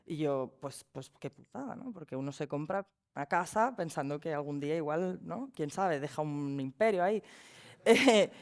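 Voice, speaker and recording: A woman's voice: deep voice, animated, moderate pace. A woman from Barcelona speaking as a panelist, chatty and personal, with small laughs between points. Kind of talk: panel discussion.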